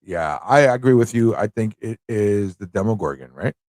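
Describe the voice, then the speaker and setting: A man's voice: fairly low pitch, animated, moderate pace, a little mellow, no echo, clean studio sound. A man from the United States hosting a live trivia quiz, chatting casually with viewers and repeating questions as he reads them out. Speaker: livestream host running a trivia quiz.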